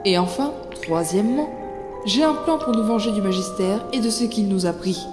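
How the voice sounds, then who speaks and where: An actress playing a stern, protective, resolute mother who speaks firmly and deliberately in an audio drama. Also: medium pitch, reverberant, long reverb tail, over music, natural voice. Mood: determined. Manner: deliberate, firm. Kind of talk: French audio drama acting.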